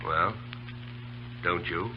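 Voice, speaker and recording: An actor playing a wry, flippant British cavalry officer with a dry, understated manner in old-time radio drama. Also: male voice, deep voice, fairly even intonation, very slow. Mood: weary, understated. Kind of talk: 1930s radio drama acting. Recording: muffled, noisy old radio broadcast with hum.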